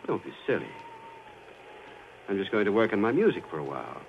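Cold quiet revenge guy, lowish voice but lots of swing, faint hiss. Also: very animated, fairly quick, gravelly. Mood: sinister. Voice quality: quiet, sinister, controlled. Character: cold, vengeful man, calm menace. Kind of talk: 1940s radio drama acting. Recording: dull old radio broadcast with faint hiss.

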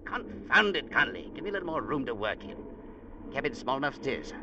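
An actor playing a blunt, bossy, unflappable sea captain in a vintage radio drama, with curt, folksy talk. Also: male voice, mid-range voice, very animated, fast, lightly acted. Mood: absorbed, testy. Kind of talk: 1940s-50s radio drama acting. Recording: muffled, noisy vintage radio recording.

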